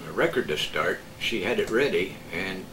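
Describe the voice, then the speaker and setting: An older man chats casually about old times in a halting way. His voice is fairly low. He sits a little off the mic, and there is clear noise in the recording. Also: lively intonation. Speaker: interview guest, retired radio man. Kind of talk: casual reminiscing chat. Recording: noisy, distant mic.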